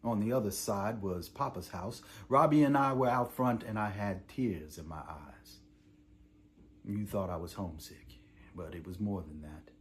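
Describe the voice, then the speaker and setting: A man's voice: medium pitch, a little hiss or hum, close to an everyday voice. A man performing a weary, defiant imprisoned revolutionary. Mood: sorrowful, reflective. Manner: deliberate oratorical delivery swinging from hushed to impassioned. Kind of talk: dramatic theatrical monologue, letter read aloud.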